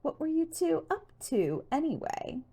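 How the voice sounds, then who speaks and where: A gushing, boy-crazy teenage girl played by a woman narrator, high and very animated. She is breathless, excitable and teasing, in storybook style. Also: unhurried, heavily acted.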